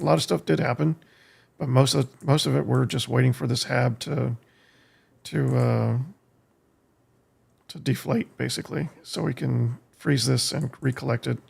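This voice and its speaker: Gravelly American man thinking aloud. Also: mid-range voice, fairly even intonation, moderate pace. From United States. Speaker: game streamer, host. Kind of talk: casual live gameplay commentary. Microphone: streaming desk microphone.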